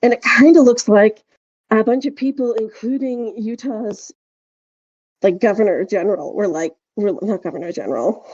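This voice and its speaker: Mellow-voiced woman chatting, dull dry recording. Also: mid-range voice, slightly rough, dry recording. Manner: rambling, halting storytelling. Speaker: podcast co-host. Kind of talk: casual chat.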